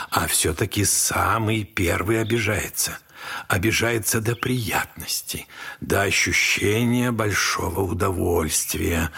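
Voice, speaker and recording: An actor playing a gentle, wise old monastery elder in a Russian audio drama, speaking in a measured, consoling way. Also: male voice, deep voice, creaky, breathy edge, heavily acted. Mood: wry, knowing. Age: older.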